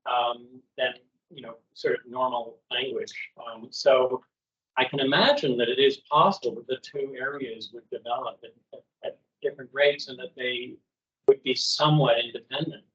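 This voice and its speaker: A male professor answering audience questions in a relaxed academic discussion, hesitant and full of fillers. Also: medium pitch, normal speed. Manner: hesitant, searching, many fillers. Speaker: presenting professor. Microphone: video call. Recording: dull lo-fi video call audio.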